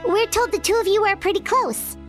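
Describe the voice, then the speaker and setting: A woman voicing a small, childlike fairy companion in a video game, chirpy and cheeky with a squeaky tone. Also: brisk, music in the background. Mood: polite, chirpy. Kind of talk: video game character acting.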